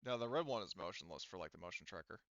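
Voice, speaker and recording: A man's voice: deep voice, animated, rapid-fire, fairly bright tone, no room sound. A man acting as game master, narrating a tabletop game in a casual, rambling, informal way. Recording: dry, slightly muffled voice mic.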